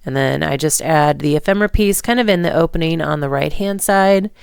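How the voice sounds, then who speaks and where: A woman narrating a card-making tutorial in relaxed, rambling steps, hoarse and stuffy from a cold. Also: sits low, a little fast, no echo, clean studio sound. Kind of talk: voice-over craft tutorial.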